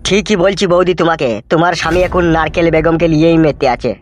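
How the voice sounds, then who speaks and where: A man's voice: on the high side, fairly even intonation, fast talker, lightly acted. A man playing an aggrieved, dramatically complaining cartoon fellow who spills scandal. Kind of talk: comedy cartoon dubbing.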